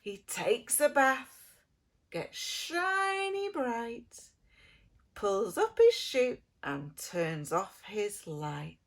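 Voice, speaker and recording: Slow, very animated woman reading a children's story. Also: fairly high pitch. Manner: theatrical, with drawn-out words and soft hushed phrases. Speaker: preschool early years lead reading aloud to children. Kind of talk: expressive children's picture-book storytelling.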